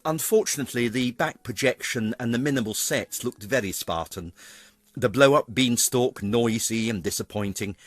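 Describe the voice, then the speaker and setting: A man's voice: medium pitch, a bit bright, no echo. An older British man giving a scripted retrospective narration in a wry, theatrical, wordy manner. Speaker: pantomime performer narrating career retrospective.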